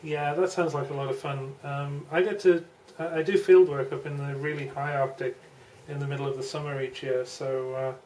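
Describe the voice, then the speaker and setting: A woman's voice: sits low, steady tone, moderate pace, mellow. A woman chatting casually as an amateur radio operator over a shortwave radio transmission. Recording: distant radio link with faint static.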